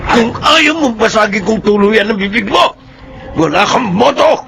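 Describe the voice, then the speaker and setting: A man's voice: sits high, expressive, moderate pace, vocal fry, loud music behind the voice. A man with a Filipino accent playing a stern, hot-tempered, threatening father in a radio drama. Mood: angry. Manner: threatening, confrontational. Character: stern, hot-tempered father scolding his wayward son.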